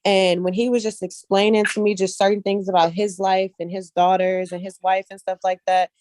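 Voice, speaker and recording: A woman speaking casually as an interview guest, telling personal stories in an animated, earnest and colloquial way, often checking in with her listeners. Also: medium pitch, moderate pace, no room sound. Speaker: guest, actress and casting director.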